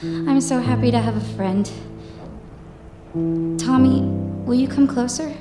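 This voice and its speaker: A woman playing a gentle, lonely blind orphan girl who speaks in a soft, soothing way, with roomy echo and music behind. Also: high-pitched, unhurried. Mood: friendly.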